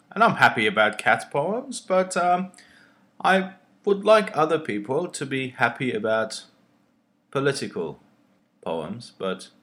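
A male poet speaking in a thoughtful, deliberate way, with pauses between short phrases. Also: lowish voice, fairly bright tone.

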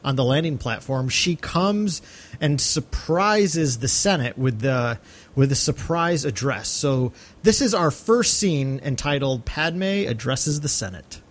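Higher-pitched man co-hosting a podcast. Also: expressive, unhurried. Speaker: podcast co-host. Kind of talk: casual film discussion.

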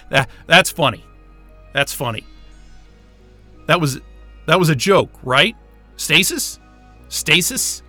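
An actor playing an irritable, sarcastic, sweary astrophysicist in a science fiction audio drama. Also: male voice, fairly high pitch, takes it slowly, pauses often, bright, forceful tone, faint music behind, heavily acted. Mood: sarcastic, baffled. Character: Wayne, irritable sarcastic astrophysicist, adult man. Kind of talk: naturalistic audio drama acting.

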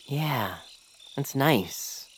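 An actor playing a timid, supportive male bird, hesitant and gently earnest, in an audio drama. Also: medium pitch, lively intonation, very slow, slight background noise. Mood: nervous.

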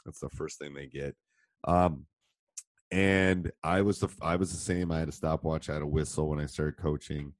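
A middle-aged man with a deep voice, a little hoarse from a cold, explaining basketball coaching in a casual live session full of ums and restarts. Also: fairly even intonation, normal speed, no room sound. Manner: conversational, many ums and restarts. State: has a cold. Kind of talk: live online coaching session answering questions. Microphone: handheld mic during live stream.

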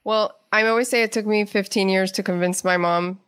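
A woman's voice: medium pitch, steady tone, fairly quick, a bit bright, clear, resonant tone, no room sound, clean studio sound. A middle-aged woman, an author being interviewed, telling stories in a conversational way. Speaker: guest author and playwright.

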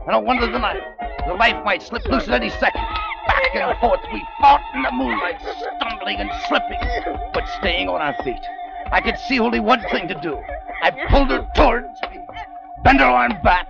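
An actor playing a tough, wary gold-hunting American adventurer in a 1940s radio drama, with a hard-boiled, colloquial way of talking. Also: male voice, extremely high pitch, sing-song, normal speed, gravelly, exaggerated voice. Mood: frantic, straining. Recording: dull 1940s radio recording, music behind.

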